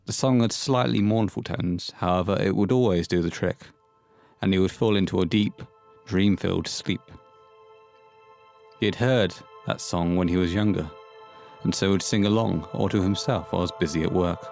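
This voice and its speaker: A man's voice: low-pitched, normal speed, vocal fry, a little mellow, faint music behind. A man reading scripted narration as the storyteller of an audio horror play.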